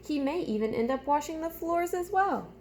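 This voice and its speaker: A woman with a fairly high voice and clear vocal fry speaks as a teacher to young children. She reads storybook-style and breaks off for simple questions and instructions. There is noticeable background noise on the recording. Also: lively intonation, moderate pace, darkish tone. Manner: addresses children directly with simple questions and step-by-step prompts. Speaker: teacher reading aloud to pupils. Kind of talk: storybook read-aloud with chatty asides and instructions for children.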